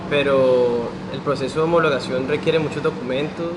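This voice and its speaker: A man, an interactive design graduate, giving halting interview answers that correct themselves as he goes. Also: mid-range voice, steady tone. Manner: halting, self-correcting explanation. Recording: loud background noise.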